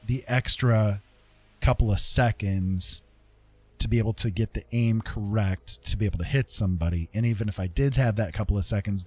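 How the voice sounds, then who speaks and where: Male streamer, husky and on the low side, talking informally and explaining things to viewers. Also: darkish tone. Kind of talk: casual livestream chat with viewers.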